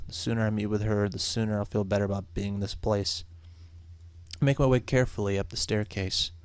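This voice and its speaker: A man's voice: medium pitch, vocal fry, no echo. A man voicing a nervous, superstitious young real estate agent in a horror visual novel, with dramatic, exasperated delivery.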